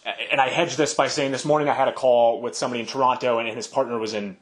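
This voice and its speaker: A man's voice: medium pitch, fairly even intonation, rapid-fire. Guy hosting a podcast, rambly long questions, easygoing chat. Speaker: podcast host. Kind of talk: casual interview conversation. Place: Los Angeles.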